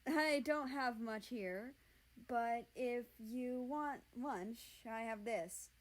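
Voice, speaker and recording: Friendly male healer, voiced by a woman, storybook dialogue, considerate, gentle, unhurried. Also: a little high. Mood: friendly, offering.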